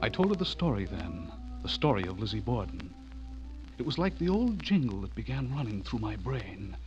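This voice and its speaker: An earnest, haunted storyteller in a radio horror play. His lowish voice swings dramatically with suspense and intensity. The sound is muffled, with prominent music underneath. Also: very animated, moderate pace. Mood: brooding, ominous. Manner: theatrical, intense, suspenseful delivery. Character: earnest, rattled man narrating his own horror story. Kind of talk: dramatic first-person radio horror narration and dialogue.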